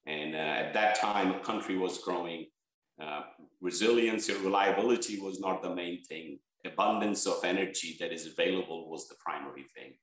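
Man from Bangladesh, research leader, earnest and listing, lowish voice. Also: normal speed, fairly bright tone, heavy reverb, poor audio. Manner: earnest, stresses key words, lists points. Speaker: head of energy research, interview guest. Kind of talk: interview answers.

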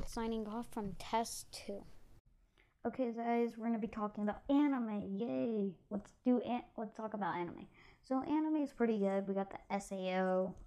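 Young kid rambling on their own little podcast, mellow voice, kinda unsure, casual. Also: mid-range voice, gravelly. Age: child or young teen. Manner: hesitant, self-deprecating. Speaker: amateur podcast host. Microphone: amateur mic, trying blanket as sound booth.

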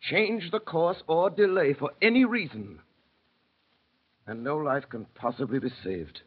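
A man playing a resolute, self-sacrificing English gentleman in an old radio drama, husky and urgently commanding. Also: a little high, lively intonation, measured pace. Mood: solemn, insistent. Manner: urgent, commanding, clipped phrases. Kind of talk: 1930s-40s radio drama acting. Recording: dull, muffled old radio recording.

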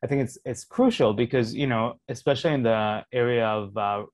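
A man, a Hebrew speaker, answering interview questions as a sociologist in a measured, explanatory way, thinking aloud as he goes. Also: mid-range voice, no echo, clean studio sound. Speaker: sociologist guest.

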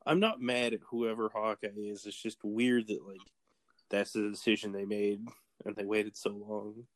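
A man's voice: fairly low pitch, level tone, normal speed, no echo. Texan-accented man, easygoing co-host, hesitant with lots of fillers, dry close sound. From Texas. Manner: laughs easily, hesitant, lots of "like". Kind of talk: casual chat.

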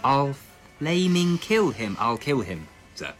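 A man's voice: medium pitch, very animated, slow pace, faint music behind. A man playing an earnest young police constable with an English accent, pedantic, hesitant and deferential, in a comic radio drama.

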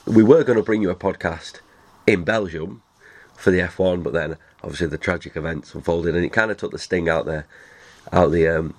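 Male podcast host, very low voice, stuffy nose from a cold, rambling chatty delivery. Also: fairly even intonation, brisk. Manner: rambling, chatty, many restarts. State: nasal from a cold. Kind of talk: casual chat.

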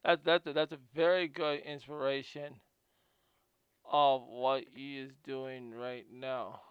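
A man's voice: mid-range voice, flat delivery, takes it slowly, smooth, no echo, muffled recording. A man hosting a casual video, reading from notes about a guest and often stumbling and repeating words.

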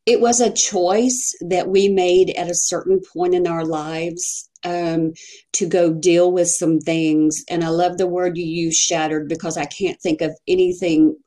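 A woman from Texas with a Texan accent, a podcast guest sharing her story in a hesitant way with many pauses. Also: fairly low pitch. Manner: soft-spoken, hesitant, many pauses and fillers. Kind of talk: personal testimony in a podcast interview.

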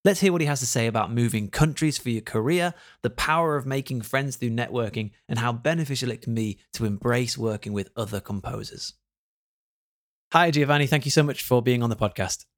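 A man hosting a podcast, reading a smooth scripted intro and then asking relaxed, friendly interview questions. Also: mid-range voice, fairly quick. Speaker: podcast host.

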